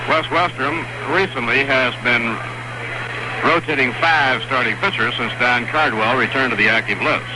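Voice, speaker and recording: A man calling a baseball game play by play on live radio, describing each pitch in a steady, measured way. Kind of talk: live radio sports commentary. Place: stadium broadcast booth. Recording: noisy vintage 1960s radio broadcast.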